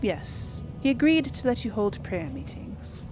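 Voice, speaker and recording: A woman's voice: on the high side, very animated, measured pace, music in the background. A woman giving casual commentary on a role-playing game, thinking aloud in a conversational way as its host. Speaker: gaming channel host.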